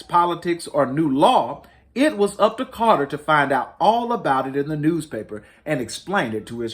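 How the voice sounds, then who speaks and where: A man reading a storybook aloud to children as host, in an expressive, deliberate and emphatic storytelling manner. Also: on the high side. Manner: deliberate, emphatic storytelling with dramatic rises and falls.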